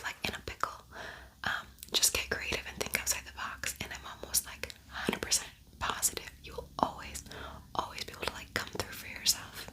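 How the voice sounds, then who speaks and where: A woman speaks entirely in a soft, breathy whisper. She hosts an ASMR-style makeup tutorial as a casual chat. Her manner is gentle and encouraging as she addresses the viewer directly. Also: poor audio. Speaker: ASMR video creator and makeup tutorial host.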